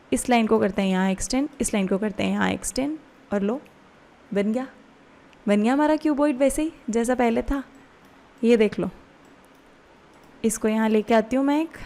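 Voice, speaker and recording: A woman with an Indian accent teaches as a software instructor. Her voice sits a little high. She explains each step in casual spoken instruction and often puts questions to the learner.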